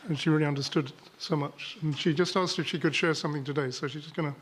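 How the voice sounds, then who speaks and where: A man, a pastor, introduces a church member in a relaxed, informal way during a service in church. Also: on the high side, quick pace.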